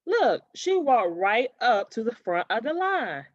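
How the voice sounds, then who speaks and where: A woman's voice: on the high side, sing-song, no echo, muffled recording. A woman voicing an indignant young schoolgirl in a storybook, emphatic and complaining. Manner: emphatic, complaining, drawn-out stresses. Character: indignant young schoolgirl with a strong sense of fairness.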